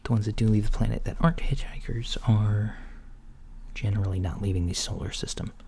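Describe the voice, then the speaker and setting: A soft-spoken man doing a casual, hesitant ASMR roleplay as a mild alien doctor, often dropping to a near whisper. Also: on the low side, steady tone, brisk, creaky. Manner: hesitant, understated, casual bedside talk. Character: mild, matter-of-fact alien doctor at a checkup. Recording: lo-fi with background noise. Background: writing sounds, soft ambience.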